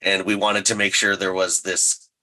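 A man's voice: low voice, flat delivery, moderate pace, pushed and bright, low-quality recording. A man speaking as an interview guest, casual and playful, with a self-deprecating way of talking. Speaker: guest, audio drama creator.

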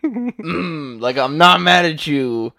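A man's voice: a little high, very animated, slow, gravelly, pushed and bright, dry recording. A young man hosting a casual podcast chat, loose and profane, laughing often. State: hurt rib. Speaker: podcast host.

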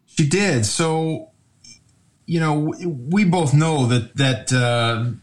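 A man's voice: a little high, slow. A man from the United States, a guest in a casual interview, talking in a rambling way with many restarts. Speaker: podcast guest, brewery owner.

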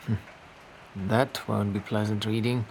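An actor playing a weary, conscientious doctor in a naturalistic 1940s audio drama, speaking in a low-key, hesitant way. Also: male voice, little pitch movement, unhurried, vocal fry, a little hiss or hum. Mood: thoughtful. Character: weary, conscientious male Norwegian doctor in 1945.

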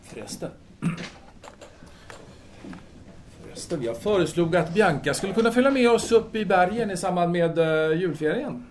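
A man's voice: high-pitched, animated, long pauses, slight background noise. A man playing a self-absorbed intellectual philosopher in an audio drama, speaking formally and hesitantly, wordy and absent-minded. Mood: absent-minded, mild.

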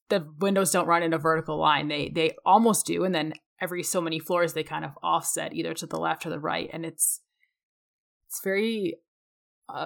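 A woman's voice: fairly low pitch, gravelly. A woman co-hosting a conversational podcast, speaking in an opinionated, emphatic way. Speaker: podcast co-host.

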